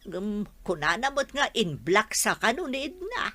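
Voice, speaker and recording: A woman's voice: fairly high pitch, very animated, measured pace, bright, forceful tone, exaggerated voice. A woman playing an older, protective village mother in an Ilocano radio comedy drama. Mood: concerned.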